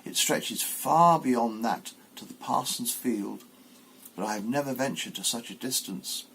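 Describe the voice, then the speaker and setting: A man's voice: medium pitch, unhurried, creaky, fairly bright tone, a little hiss or hum, rough recording. A man voicing a proud, protective mother duck in an exclaiming, instructive way, as in a storybook reading. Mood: weary, wondering.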